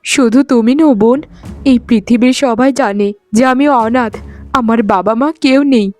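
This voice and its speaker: Lady doing a sad meek orphan wife in a cartoon, high teary voice, submissive, music in back. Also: moderate pace, darkish tone, lightly acted. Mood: hurt, tearful. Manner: plaintive, tearful, submissive. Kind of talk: melodramatic cartoon voice acting. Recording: music behind the voice.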